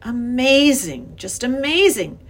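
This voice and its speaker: A woman's voice: mid-range voice, animated, normal speed, breathy edge. A woman voicing a kindly, anxious older male professor with genteel, old-fashioned phrasing, in an acted children's storybook reading. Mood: amazed.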